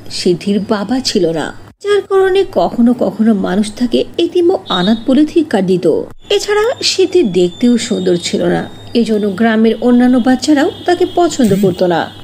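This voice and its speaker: A woman's voice: fairly high pitch, very animated, moderate pace, darkish tone. A woman reading scripted fairy-tale narration. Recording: clear background noise.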